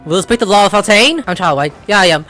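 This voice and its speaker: A woman giving casual playthrough commentary as a gaming host, reacting excitedly in short bursts. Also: mid-range voice, a little fast. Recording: game music behind the voice.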